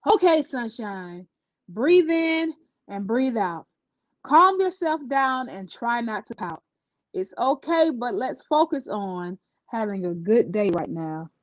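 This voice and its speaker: A woman acts out a sweet, nervous schoolgirl from a children's storybook. The voice is high and swings up and down in pitch. She talks earnestly and tends to blurt, and the recording is dry and a little dull. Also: animated, takes it slowly, no room sound. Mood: self-soothing. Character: anxious, sweet schoolgirl who is easily upset.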